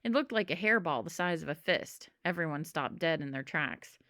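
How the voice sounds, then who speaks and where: Woman, children's storybook narrator, lowish pitch, lively expressive intonation, dry recording. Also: moderate pace, no echo. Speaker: storybook reader.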